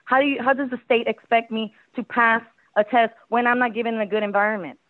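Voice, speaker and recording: A woman's voice: fairly high pitch, fairly even intonation, fairly quick. A woman from Houston, a native Spanish speaker, making an impassioned public comment over the phone and pressing with questions. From Houston, Texas. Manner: urgent, pressing rhetorical questions. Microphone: phone call. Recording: tinny phone line.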